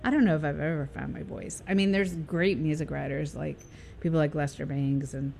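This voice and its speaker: Woman, low voice, casual radio guest, rambling, faint hiss. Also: steady tone, brisk, gravelly, mellow. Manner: chatty, many fillers and restarts.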